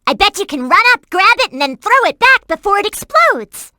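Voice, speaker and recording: A woman's voice: extremely high pitch, big pitch swings, moderate pace, bright, forceful tone, dry recording, studio quality, full character voice. A woman voicing a perky, earnest and bossy young girl companion in a video game, with emphatic delivery. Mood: urgent, alarmed. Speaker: in-game hint-giving companion.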